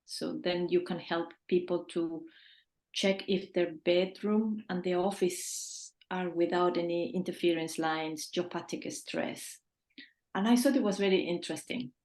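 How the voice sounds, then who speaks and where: A woman in her fifties from Chile answers interview questions in a thoughtful, earnest way, now and then restarting her sentences. Her voice sits fairly low. She is on a video call in a room that gives noticeable echo. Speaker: feng shui consultant, guest.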